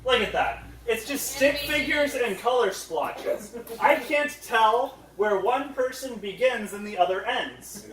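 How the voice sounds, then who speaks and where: A man's voice: very high voice, animated, a little slow, fairly bright tone. A man co-presenting a casual comedy panel to a live audience with mock-serious sarcasm, recorded at a distance in a roomy space. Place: convention panel room. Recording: roomy echo, picked up from a distance.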